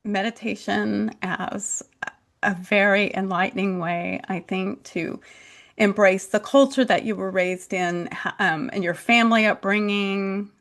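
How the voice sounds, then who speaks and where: Middle-aged woman host, deaf accent, very slow and careful delivery, mid-pitched. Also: steady tone. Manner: slow, deliberate, polite, with frequent laughs. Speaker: podcast host. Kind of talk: casual interview.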